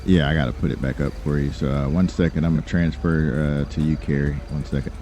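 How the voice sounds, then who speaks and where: A polite underworld lawyer improvised by a male gamer speaks in a deep, relaxed voice. He is businesslike and courteous, feeling his way through casual roleplay dialogue without hurry. Faint noise sits behind him. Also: quick pace. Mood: calm, helpful. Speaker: gamer playing online roleplay. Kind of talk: improvised in-character roleplay chat.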